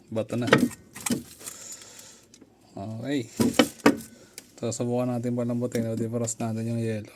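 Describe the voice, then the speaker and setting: Casting: male gear reviewer, mid-pitched voice, conversational step-by-step demo delivery, noticeable background noise. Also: darkish tone. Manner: conversational, narrates actions step by step. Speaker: motorcycle gear reviewer and content creator. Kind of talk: casual product review and demonstration. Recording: clear background noise, room ambience.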